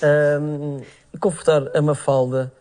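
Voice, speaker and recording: A man's voice: on the high side, little pitch movement, slow pace, a little mellow. A man moderating a televised debate, asking probing questions in a conciliatory way. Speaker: TV debate presenter.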